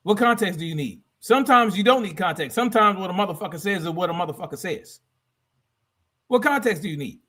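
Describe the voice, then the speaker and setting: A man's voice: sits high, expressive, fast talker, bright, forceful tone, slightly rough. A man hosting a livestream in a combative, blunt, profane way, repeating phrases for emphasis as he reacts to clips and chat. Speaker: livestream host.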